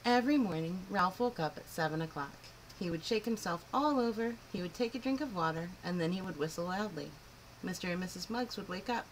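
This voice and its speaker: A woman reading a picture book aloud to children in an expressive, clearly phrased storytelling style. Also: lowish voice, fairly quick, a little hiss or hum, low-quality recording. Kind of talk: animated children's story narration.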